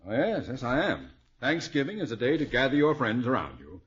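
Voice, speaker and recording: A man's voice: medium pitch, big pitch swings, a little fast, a bit bright. An actor playing a haughty, cold, wealthy middle-aged man with a pompous, clipped manner in 1950s radio comedy. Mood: pompous, boastful. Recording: muffled vintage 1950s radio broadcast.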